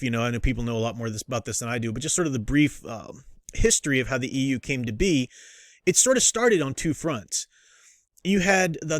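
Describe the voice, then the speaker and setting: A man's voice: medium pitch, dry recording. A man hosts an off-the-cuff review in a mid-range voice. He rambles and doubles back on his sentences with a fan's enthusiasm. The sound is dry, with little room to it. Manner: rambling, self-interrupting, enthusiastic fan talk. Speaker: host reviewing books and comics. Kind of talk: casual unscripted review commentary.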